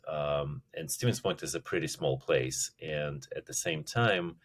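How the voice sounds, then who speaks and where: A man in his forties, from Russia, talking as a company chief in a casual interview, halting with many restarts and fillers. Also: very deep voice. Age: 40s. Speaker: company CEO guest.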